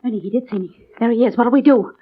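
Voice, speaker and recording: An actress playing a terrified, breathless, pleading wife in 1950s radio melodrama. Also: female voice, on the high side, fast talker, close to an everyday voice. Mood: frightened. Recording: noisy, muffled vintage radio recording.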